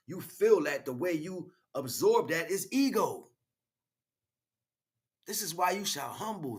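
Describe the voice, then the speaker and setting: Husky American man preaching freestyle. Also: a little high. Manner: emphatic, repeats phrases, street slang. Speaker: spiritual livestream speaker. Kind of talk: freestyle spiritual monologue, preaching.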